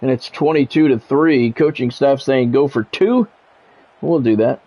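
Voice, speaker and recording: A man calling live play-by-play of a football video game in measured, short clipped announcer phrases. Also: medium pitch, dark tone. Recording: dull lo-fi audio with music behind.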